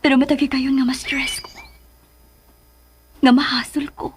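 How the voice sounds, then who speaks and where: A woman acting in a radio drama as a tender, tearful woman torn by love, with a breathy, emotional delivery. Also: high voice, level tone, moderate pace, a crowd in the background, lightly acted. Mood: wistful, soft. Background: music bed.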